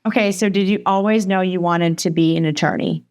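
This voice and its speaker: A woman hosting a podcast, asking relaxed questions and giving brief affirming responses. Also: on the low side, brisk. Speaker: podcast host. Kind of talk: casual interview.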